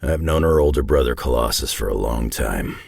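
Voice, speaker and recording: An actor playing a gruff, century-old mutant superhero loner in a video game, with a gravelly North American voice and a dry, sardonic, clipped delivery. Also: male voice, very deep voice, animated, normal speed, darkish tone, no echo, full character voice. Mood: wry, low-key. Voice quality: gravelly, husky growl. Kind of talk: video game character voice lines.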